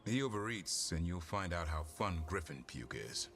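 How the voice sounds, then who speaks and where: A man playing a gruff, stoic but caring warrior and creature keeper in a video game cutscene, terse and dryly humorous. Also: sits low, expressive, moderate pace, a bit bright. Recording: music behind.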